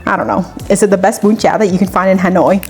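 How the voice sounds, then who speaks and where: A woman talks through a recipe as the host of a cooking video, chatty and relaxed with plenty of personal asides. Her voice sits in an ordinary middle pitch. Soft music plays faintly underneath. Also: fast. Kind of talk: cooking tutorial with personal chat. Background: faint background music.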